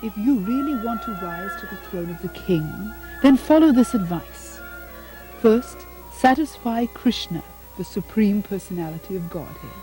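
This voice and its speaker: A woman playing a haughty, cruel stepmother queen in a puppet show, condescending, measured and theatrical. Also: medium pitch, animated, mellow, faint music behind, lightly acted, low-quality recording. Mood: scornful. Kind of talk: puppet show dramatic acting.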